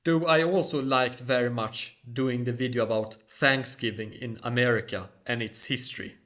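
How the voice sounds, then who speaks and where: Formal Swedish-native man, bright tone. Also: mid-range voice. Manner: measured, formal phrasing. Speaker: channel host. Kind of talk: solo Q&A monologue.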